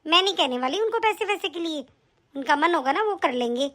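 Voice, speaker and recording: Indignant young daughter-in-law, high bright voice, a woman acting. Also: lively intonation, fairly quick. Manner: indignant, argumentative. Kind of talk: family skit acting.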